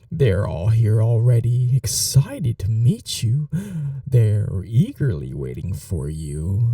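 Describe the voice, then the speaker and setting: Male actor for an obsessive vampire lover: deep-toned, unhurried, whispery, controlling yet doting; ASMR audio, slightly distant from mic. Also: gravelly, dark tone, dry recording, heavily acted. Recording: voice a little off-mic, dry.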